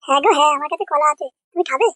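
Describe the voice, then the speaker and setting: A man voicing a cheeky, mischievous little boy pupil in a comedy cartoon, with a pitched-up voice. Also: racing, sharp tone, no room sound, studio quality. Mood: sly, eager. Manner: questioning, coaxing.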